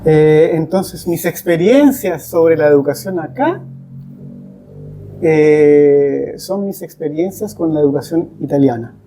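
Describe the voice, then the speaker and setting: Podcast guest, male. Relaxed, mellow tone, unhurried and measured, pausing between short phrases. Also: on the high side, soft background music. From Chile. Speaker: guest, English teacher. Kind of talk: reflective podcast conversation.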